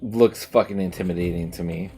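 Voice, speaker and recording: A man's voice: low-pitched, a little fast, mellow, soft background music. A man chatting casually and emphatically on a livestream while playing a game, giving opinions with some swearing. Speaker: game streamer. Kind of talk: casual livestream chat over gameplay.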